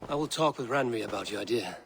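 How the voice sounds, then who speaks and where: A man's voice: mid-range voice, fast, a bit bright, a little hiss or hum, lightly acted. A man acting as a bold, blunt Viking raider in a dramatic video game. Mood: wary, firm. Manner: blunt, direct.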